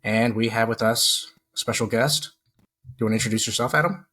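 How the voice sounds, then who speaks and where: A man's voice: medium pitch, brisk, sharp tone. A man co-hosting a podcast, chatty, laughing easily and stumbling over repeated words. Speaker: podcast co-host. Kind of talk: casual podcast chat.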